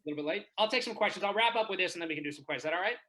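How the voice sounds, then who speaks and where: Fast, bright-voiced comedian from Israel. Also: male voice, high voice, animated. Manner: chatty, keeps asking the audience "right?". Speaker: stand-up comedian. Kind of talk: stand-up set over a video call. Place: his home. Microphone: video call.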